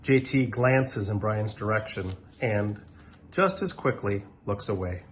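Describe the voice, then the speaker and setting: A man reading a children's story aloud in an animated, expressive way, with dramatic pauses. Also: fairly low pitch, slight background noise.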